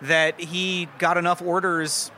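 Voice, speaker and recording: A podcast host interviews a craftsman at a festival, friendly and talkative, building each question with context. His voice is a touch high and bright-toned. A faint hum of ambience sits behind him. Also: flat delivery, takes it slowly. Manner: chatty, affirming, asks long lead-in questions. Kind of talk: casual interview. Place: outdoor food festival.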